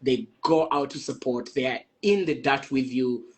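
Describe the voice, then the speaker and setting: A man's voice: mid-range voice, moderate pace. A young man from Ghana hosting a casual live-stream chat, laughing often and tripping over his words as he talks.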